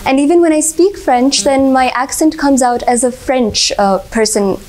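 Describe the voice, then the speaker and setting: Casting: young woman, 20s, American accent, Hindi native, slightly high, deferential candidate, filler-heavy. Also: moderate pace, a little mellow. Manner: polite, says sir often, frequent uh fillers, light laughs. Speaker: interview candidate. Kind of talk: formal mock interview answers.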